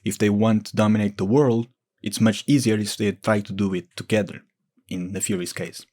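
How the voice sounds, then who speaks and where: Low-voiced man giving casual commentary. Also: steady tone, moderate pace, darkish tone. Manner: explanatory, informal. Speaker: gaming video creator.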